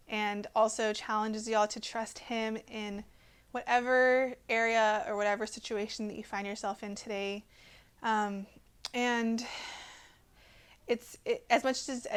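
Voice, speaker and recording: A woman's voice: fairly high pitch, clear, resonant tone. A woman in her twenties with an American accent, giving a personal testimony to camera in an earnest, hesitant way. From Texas, USA. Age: 20s.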